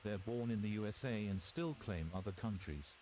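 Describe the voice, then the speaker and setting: A man's voice: on the low side, normal speed, darkish tone, slight background noise. A synthetic-sounding man's voice reading out a donation message as text-to-speech.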